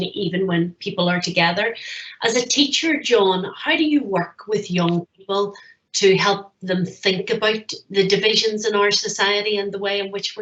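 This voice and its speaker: A woman moderating a panel, linking speakers with smooth questions and small restarts. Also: medium pitch, a bit bright, reverberant, muffled recording. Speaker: panel chair.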